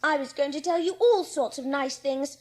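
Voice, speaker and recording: A woman's voice: sits high, moderate pace, natural voice. A woman voicing a stiff, headstrong young girl, blunt and emphatic, in a dramatised audiobook. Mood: indignant, defiant. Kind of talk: dramatised audiobook character acting.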